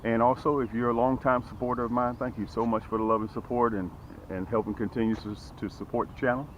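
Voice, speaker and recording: A middle-aged man talks to camera from a small boat out on a lake, upbeat and chatty and fond of repeating himself. His voice sits at an ordinary pitch, and the outdoor recording sounds a little dull, with faint background noise. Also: fast talker. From Atlanta, Georgia area. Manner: energetic, repeats words, often adds "okay?" and "boom". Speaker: fishing channel host. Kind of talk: casual fishing vlog talk to camera. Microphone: camera close on a boat.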